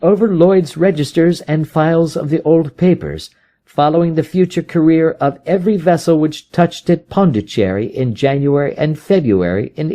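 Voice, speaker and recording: A man's voice: a little high, mellow, pitched above the performer's own voice, natural voice. A man voicing a proud, brooding detective in an audiobook, with an emphatic, agitated way of declaring things. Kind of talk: dramatic audiobook character reading.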